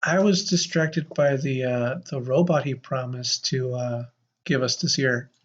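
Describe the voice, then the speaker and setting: A podcast co-host in casual chat with a halting, wry way of talking, on a dull, lo-fi recording. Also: medium pitch. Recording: dull, lo-fi sound.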